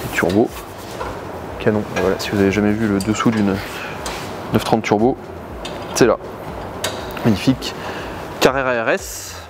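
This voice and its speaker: A man's voice: fairly low pitch, normal speed, long pauses. A man hosting a casual car vlog, chatting with enthusiasm and agreeing readily. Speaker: host visiting car garages. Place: large workshop hangar, indoors. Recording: clear background noise in a garage.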